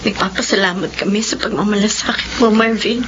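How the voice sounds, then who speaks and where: An actress playing a grateful, bashful older sister in a radio drama, with an earnest, emotive and hesitant delivery. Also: female voice, mid-range voice, steady tone, fairly quick, natural voice. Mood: subdued, thankful. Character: grateful, bashful older sister rebuilding after losing parents. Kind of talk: Tagalog radio drama acting. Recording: noisy, dull radio drama audio.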